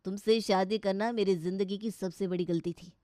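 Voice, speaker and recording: A woman acts out an irritable young husband in a dramatised moral story. He is scornful and short-tempered, scolding in a loud, sarcastic way. The sound is dry. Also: medium pitch, steady tone, normal speed, no echo, close to an everyday voice.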